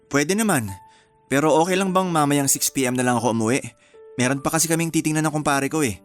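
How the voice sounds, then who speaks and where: A man's voice: on the high side, quick pace, natural voice. A man acting as a flirty, apologetic engaged man in a radio drama, coaxing and teasing. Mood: casual, placating. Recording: music bed behind the voice.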